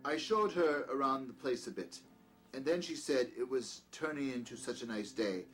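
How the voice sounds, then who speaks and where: A man's voice: mid-range voice, lively intonation, moderate pace, fairly bright tone, close to an everyday voice, rough recording. Peculiar doctor male actor, audio drama. Outwardly gracious, secretly sinister, domineering, very varied intonation, faint music behind. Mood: feigned innocence. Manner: courteous, old-fashioned, commanding when crossed. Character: peculiar, scheming doctor, outwardly courteous, domineering to his servant.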